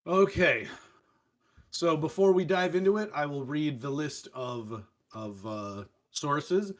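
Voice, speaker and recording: A man's voice: a little high, animated, slow. A man hosting a podcast in casual chat, joking at his own expense and swearing freely. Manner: jokey, self-deprecating, swearing. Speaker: podcast host.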